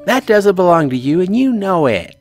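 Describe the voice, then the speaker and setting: A man's voice: high-pitched, sing-song, moderate pace, a little mellow, soft background music, pitched above the performer's own voice. A man voicing a stubborn, indignant anime schoolgirl in a visual novel, with a girlish scolding manner.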